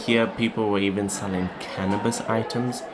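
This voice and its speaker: A man's voice: lowish voice, fairly even intonation, moderate pace, very noisy. A young man narrating a scripted vlog. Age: young adult. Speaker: vlogger.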